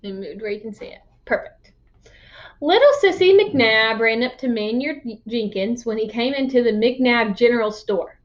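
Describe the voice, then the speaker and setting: A woman's voice: on the high side, animated, moderate pace, dull audio. A woman reading a storybook as a teacher would, explaining things to young listeners. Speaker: read-aloud teacher.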